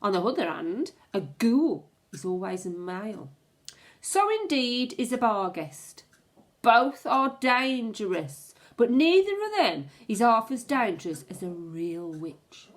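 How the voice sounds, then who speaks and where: A woman teacher reading a storybook aloud to children in a dramatic, suspenseful way, with asides to her pupils. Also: medium pitch. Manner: expressive, suspenseful, deliberate storytelling.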